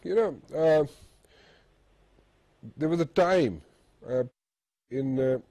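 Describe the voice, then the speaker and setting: A man interviewing someone by telephone, putting questions in halting, hesitant phrasing. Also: fairly high pitch, mellow, no room sound, soft background music.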